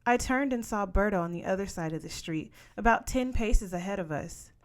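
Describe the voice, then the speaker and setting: A woman reading a children's story aloud in expressive scripted narration. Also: medium pitch, normal speed. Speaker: story reader.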